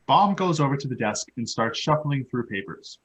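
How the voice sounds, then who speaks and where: A man reads stage directions as scripted narration. His voice is mid-pitched and noticeably husky, with a breathy rasp. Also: animated, moderate pace, lo-fi sound.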